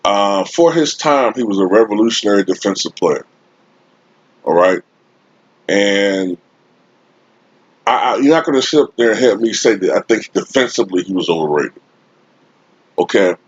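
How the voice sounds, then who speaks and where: A man with a deep, husky voice talks slowly and emphatically, like an informal sports commentator addressing his online viewers. He stretches out his points in a casual, opinionated rant. The desktop USB microphone recording is close and dry but somewhat dull. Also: lively intonation, no echo. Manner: emphatic, informal, drawn-out delivery with frequent rhetorical tags. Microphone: Blue Snowball-type desktop USB microphone.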